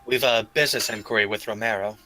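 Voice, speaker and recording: A man's voice: on the low side, steady tone, quick pace, pushed and bright, faint music behind, rough recording. A man chatting and roleplaying casually as a player in a tabletop game.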